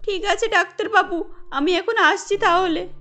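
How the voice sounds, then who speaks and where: Woman as an anxious young wife, very high-pitched. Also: moderate pace, bright, forceful tone, soft background music. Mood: subdued. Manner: pleading, timid. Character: anxious, meek young daughter-in-law. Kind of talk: cartoon story dialogue.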